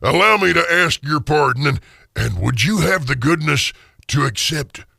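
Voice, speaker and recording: A man voicing a gruff but giddily kind old miser in a radio drama, with a growling voice and halting, folksy speech. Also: on the high side, animated, sharp tone, no room sound, studio quality, voice pushed up, exaggerated voice. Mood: humble, grateful. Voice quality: creaky, growling. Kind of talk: audio drama of a classic Christmas story.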